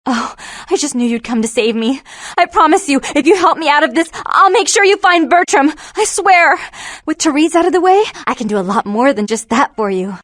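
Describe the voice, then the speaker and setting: High, girlish, taunting vampire vixen. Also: expressive, a little fast, fairly bright tone, dry recording. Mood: pleading.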